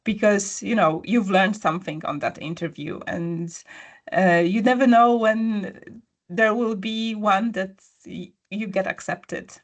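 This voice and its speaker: A woman's voice: medium pitch, unhurried, muffled recording. A woman guest, telling a personal story in a conversational interview with many fillers. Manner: storytelling, frequent fillers and restarts.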